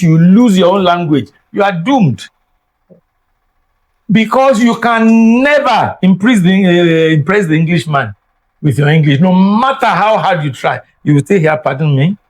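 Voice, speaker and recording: Older Nigerian-accented man, native Yoruba speaker, interview guest, emphatic lecturer, slightly high voice. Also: low-quality recording. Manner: emphatic and lecturing, repeats words for stress. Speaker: guest scholar. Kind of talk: reflective interview talk.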